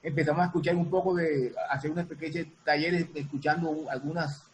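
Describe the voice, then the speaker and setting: A man's voice: on the high side, steady tone, a little hiss or hum, low-quality recording. A man explaining his work casually as an interview guest, repeating and rephrasing as he goes. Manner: halting, repeats and reformulates. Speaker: guest, music producer and sound designer.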